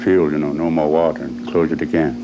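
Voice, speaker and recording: A man working as a plant operator, explaining informally in a halting, repetitive way, often saying "you know". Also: extremely low pitch, level tone, lo-fi sound. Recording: faint machinery hum, old film sound.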